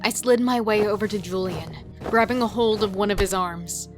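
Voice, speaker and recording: Over prominent music comes an actress playing a steely female thief and killer in a horror audio drama. She speaks at a middling pitch with tense, dramatic delivery, moving between storytelling and in-scene dialogue. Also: moderate pace, close to an everyday voice. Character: Eve, steely foul-mouthed female killer and thief narrating her ordeal. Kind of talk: first-person horror audio drama narration and acting.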